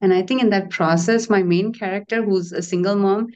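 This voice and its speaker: A woman's voice: medium pitch, fairly even intonation, a little fast, a little mellow. A woman author on a panel, talking in a relatable, friendly way over a video call. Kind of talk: casual panel talk. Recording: dull lo-fi call audio.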